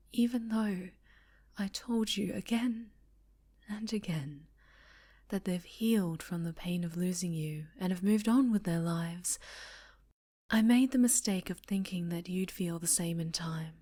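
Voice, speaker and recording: A woman playing a sinister, possessive female superhero villain in an ASMR roleplay, speaking softly with sweet menace and often whispering. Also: medium pitch. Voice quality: soft, breathy, often whispered. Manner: slow, sweetly menacing, coaxing.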